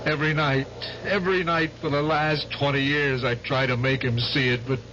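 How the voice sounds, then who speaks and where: An actor playing a guilt-haunted, deluded war veteran in an old-time radio drama, halting and repeating himself obsessively. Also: male voice, medium pitch, steady tone, natural voice. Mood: anguished, haunted. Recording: muffled noisy radio drama recording.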